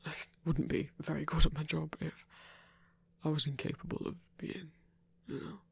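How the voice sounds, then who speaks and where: A man's voice: a little high, fairly even intonation, moderate pace, creaky, slightly rough, heavily acted. A man playing a shy, earnest young hunter in an intimate ASMR audio roleplay, stammering and hesitant, with nervous little laughs. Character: shy, earnest young male monster hunter, smitten and awkward.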